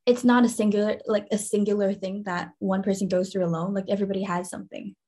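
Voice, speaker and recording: A woman's voice: medium pitch, level tone, fast, warm tone. A woman co-hosting a casual interview, chatty, informal and enthusiastic with her questions and comments. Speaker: show co-host.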